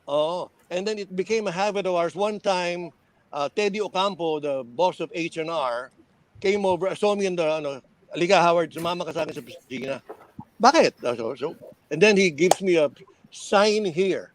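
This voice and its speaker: A man's voice: high voice, moderate pace, a little hiss or hum, poor audio. An older man with a Filipino accent reminiscing casually like a veteran radio personality, hesitating, repeating phrases and slipping between English and Tagalog.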